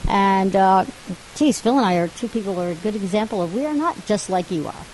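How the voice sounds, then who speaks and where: A woman co-hosting a TV talk show, animated and laughing often, acting out the voices she quotes. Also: medium pitch, a little fast, slight background noise, low-quality recording. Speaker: co-host. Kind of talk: casual talk-show chat. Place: TV studio.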